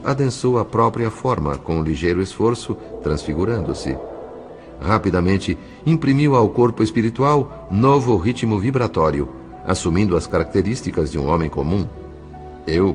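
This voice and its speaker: Male narrator for audio fiction: low voice, measured scripted delivery, faint music underneath.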